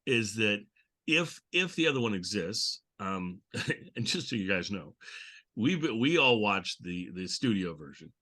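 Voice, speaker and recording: A man's voice: lowish voice, big pitch swings, a little slow, bright, forceful tone. A man hosting a casual podcast discussion, chatty and appreciative, often restarting his sentences. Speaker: podcast host discussing old television and radio comedy.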